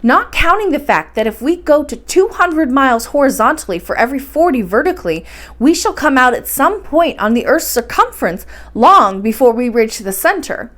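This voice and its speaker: A woman's voice: sits high, very animated, moderate pace, a bit bright. A woman voicing a cautious young nephew in a storybook, politely questioning and reasoning, respectful but doubtful.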